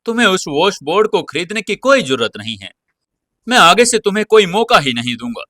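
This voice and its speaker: A man's voice: high voice, big pitch swings, brisk, sharp tone, no room sound, clean studio sound, voice pushed up, exaggerated voice. A man voicing a young husband, pleading yet cheeky, in an audio serial drama. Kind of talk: audio serial character dialogue.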